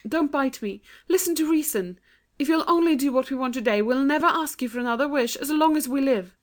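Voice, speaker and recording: A woman's voice: high-pitched, fairly quick, pitched above the performer's own voice, close to an everyday voice. A woman voicing an earnest, dramatic, kindly young British girl in a storybook reading, well-spoken and sincere. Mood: coaxing.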